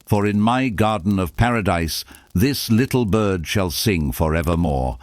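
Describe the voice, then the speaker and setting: A man voicing a solemn, benevolent God in a storybook, stately and measured. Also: low voice, animated, dry recording, pitched above the performer's own voice. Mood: solemn, approving.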